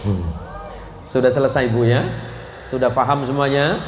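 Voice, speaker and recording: A man's voice: mid-range voice, fairly even intonation, normal speed. A man preaching to a live audience with a Madurese-tinged Indonesian accent. He jokes with the crowd, quizzes them and mixes in Madurese and Javanese words. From West Kalimantan, Indonesia. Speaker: Islamic preacher. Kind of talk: humorous sermon to a live audience. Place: large event space with an audience. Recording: echoey venue with faint noise.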